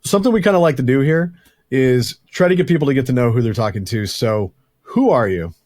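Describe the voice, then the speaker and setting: A male podcast host with a rambling, chatty and self-deprecating manner. His voice sits at a middle pitch. The recording is dry and close. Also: a little fast, a little mellow, no echo. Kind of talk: casual podcast chat.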